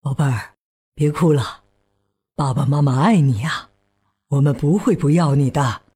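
Man acting a breathy, comforting young child's father. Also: fairly high pitch, normal speed. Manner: reassuring, gentle, explanatory. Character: calm, comforting father, patient and proud. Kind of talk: children's radio drama acting.

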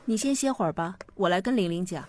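A woman playing a patient mother who explains things gently in a radio drama. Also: fairly low pitch, animated, quick pace. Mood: patient, explaining.

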